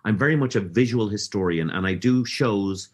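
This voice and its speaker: A man's voice: on the low side, moderate pace, husky. A middle-aged man with a Dublin Irish accent, telling his story as a podcast guest. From Ireland. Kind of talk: casual interview talk.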